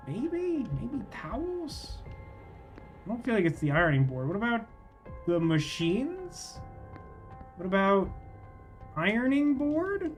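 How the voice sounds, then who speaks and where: A man doing casual livestream game commentary, thinking aloud in a wry, rambling way as he chats with viewers. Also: sits high.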